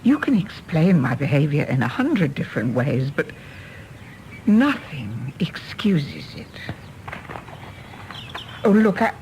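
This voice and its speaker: Guarded woman, lilting pitch. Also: sing-song, slow pace, pauses often, a little mellow, a little hiss or hum, full character voice. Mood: evasive, regretful. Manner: courteous, composed, deflecting. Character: polite, guarded, evasive middle-aged woman. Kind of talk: radio play acting.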